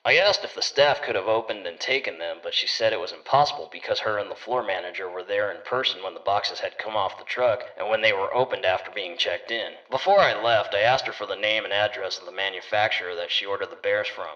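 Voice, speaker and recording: A man playing a private detective, narrating his case in a measured, matter-of-fact noir radio-serial style. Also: fairly low pitch, sharp tone, far from the mic, lo-fi sound.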